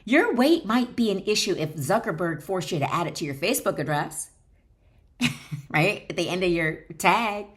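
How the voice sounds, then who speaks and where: A woman's voice: on the low side, lively intonation, normal speed. A woman reading her own wellness book aloud in an emphatic, instructional way, often breaking off into chatty asides. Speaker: author and wellness educator.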